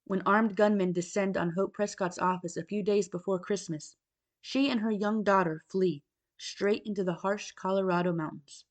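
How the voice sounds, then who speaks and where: A woman delivers scripted narration in the manner of a book trailer. Her voice sits at an ordinary middle pitch as she reads the prepared text. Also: steady tone, normal speed.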